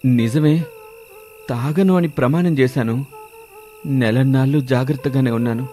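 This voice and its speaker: A man's voice: medium pitch, moderate pace, mellow, natural voice. A man voicing a drunken, defensive husband in a moral story, with blunt colloquial speech. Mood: pleading. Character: gruff drunken husband, defensive, later remorseful. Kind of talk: Telugu moral story dialogue.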